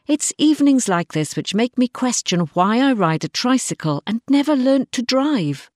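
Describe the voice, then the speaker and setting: A woman reading a scripted, diary-style short story in an expressive manner. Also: fairly low pitch, no echo, studio quality. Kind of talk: scripted first-person story narration, diary-like.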